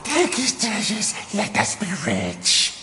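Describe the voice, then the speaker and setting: A woman's voice: mid-range voice, huge pitch swings, takes it slowly, slight background noise, heavily acted. A woman voicing a servile, fearful forest creature in an audio drama, pleading and fretful, with archaic phrasing. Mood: wheedling. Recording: long reverb, music behind.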